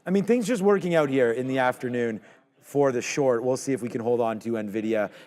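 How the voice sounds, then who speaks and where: A man co-hosting a live trading show, talking through his trades in a relaxed, conversational way with lots of fillers. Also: medium pitch, brisk. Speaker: stock trading show co-host. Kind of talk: live market commentary.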